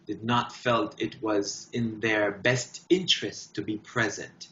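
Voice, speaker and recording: Emphatic man, bright forceful voice, roomy sound. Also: fairly low pitch, fairly even intonation. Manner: emphatic, declarative, short deliberate phrases. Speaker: guest artist. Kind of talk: interview answers, impassioned talk. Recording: dull, roomy echoing sound.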